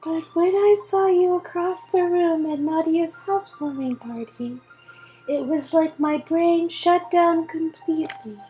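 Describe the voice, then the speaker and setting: Woman acting a very high-voiced, awkward nerdy young woman. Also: warm tone, faint music behind, low-quality recording. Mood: awkward confession. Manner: halting, formal recitation.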